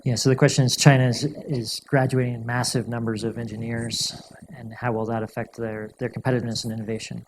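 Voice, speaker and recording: A male guest speaker answering audience questions in a measured, thoughtful way, often restarting and repeating words. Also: medium pitch, level tone, slight background noise, low-quality recording. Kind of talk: lecture Q&A answers.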